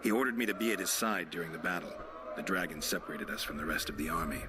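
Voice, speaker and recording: An actor playing a world-weary monster hunter, terse and deadpan, in a video game cutscene. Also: male voice, deep voice, fast, background noise, close to an everyday voice. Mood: matter-of-fact.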